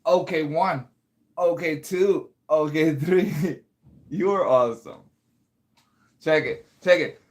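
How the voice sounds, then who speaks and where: A man's voice: on the high side, takes it slowly, pauses often. A man whose first language is Spanish, hosting a casual livestream as a composer and chatting with viewers about their comments. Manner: chatty, reads out and answers chat comments. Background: loud music playing.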